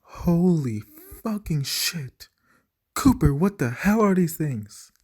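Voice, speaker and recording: A man's voice: fairly high pitch, animated, slow, darkish tone, breathy edge, heavily acted. A man playing a bewildered, foul-mouthed police officer facing demons in an amateur audio drama. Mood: alarmed.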